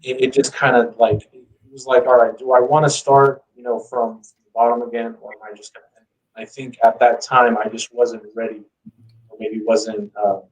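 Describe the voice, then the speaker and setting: A man's voice: on the low side, a little mellow, far from the mic, poor audio. A man telling his story as a guest in a casual interview, speaking informally with lots of "you know". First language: English.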